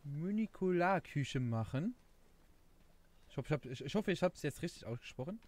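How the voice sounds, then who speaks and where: A young man with a Luxembourgish accent rambles through a casual game stream, often searching for the right word. His voice sits a little high and swings widely in pitch. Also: animated, moderate pace, a little mellow. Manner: rambling, laughing, self-mocking, searches for words. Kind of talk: casual gameplay stream chat.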